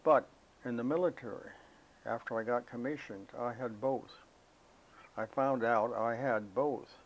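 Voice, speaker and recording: Elderly man, American accent, medium pitch, reflective, halting delivery, unhurried oral history recollection. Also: poor audio. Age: elderly, 80s or older. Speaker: interviewee, war veteran recalling military service.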